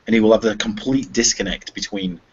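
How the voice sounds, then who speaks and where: A man in his forties hosting a casual group chat, talking quickly, interrupting and questioning the others. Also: fairly low pitch. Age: 40s. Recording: dull lo-fi sound.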